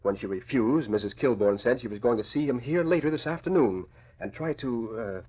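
An actor playing a polite, hesitant, formal household servant with a gossiping streak in an old radio drama. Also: male voice, mid-range voice, animated, a little fast, breathy edge. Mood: tattling. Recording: dull, lo-fi old radio recording.

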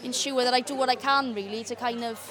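A woman's voice: on the high side, fairly even intonation, fast talker, crowd noise. A young Welsh woman with a Welsh accent, chatting in a friendly way and patiently teaching phrases. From Wales. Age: young adult. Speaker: local guest. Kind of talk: casual chat.

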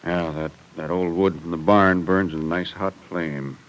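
A man's voice: low voice, fairly even intonation, moderate pace, natural voice, rough recording. An actor playing an older, fatherly farm man in an old-time radio serial, folksy and hesitant. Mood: hesitant, troubled. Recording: vintage radio recording with faint noise.

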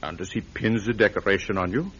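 A silky, scheming German major. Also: male voice, on the low side, quick pace. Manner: smooth, coaxing, quietly fanatical. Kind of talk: 1940s radio acting. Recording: dull lo-fi old radio recording.

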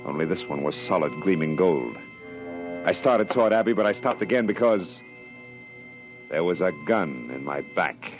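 An actor playing a tough, sardonic private detective in clipped, slangy 1940s radio style. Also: male voice, low-pitched, expressive, moderate pace. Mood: tense narrating. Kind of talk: 1940s radio acting, first-person hard-boiled narration. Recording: dull old radio recording with music.

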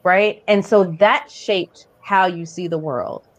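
A woman's voice: on the low side, slow. A woman with an American accent hosting a podcast, talking in a casual, informal, anecdotal way. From born in Barbados, raised in the United States. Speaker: podcast host. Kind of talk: casual conversational interviewing.

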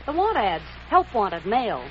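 Spunky jobless young typist, brisk and eager, high and lively, faint hiss on lo-fi vintage radio. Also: female voice. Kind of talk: 1940s radio acting. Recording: dull old radio recording, hiss.

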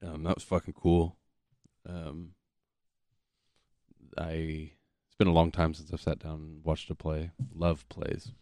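A man's voice: rumbling low, completely flat delivery, slow, pauses often, warm tone, no room sound. A man co-hosting a casual chat show, talking in a loose, slangy way with plenty of swearing. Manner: profane, slangy. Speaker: podcast co-host.

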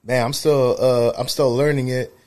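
A man's voice: medium pitch, steady tone, moderate pace, darkish tone. A man in his thirties co-hosting a casual podcast, telling rambling, profane stories full of fillers. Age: 30s. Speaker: podcast co-host, barber.

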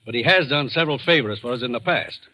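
A man playing a brisk, authoritative agency commissioner, serious and commanding, in 1940s radio drama style. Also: mid-range voice, lively intonation, pushed and bright. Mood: businesslike, serious. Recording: noisy old radio recording.

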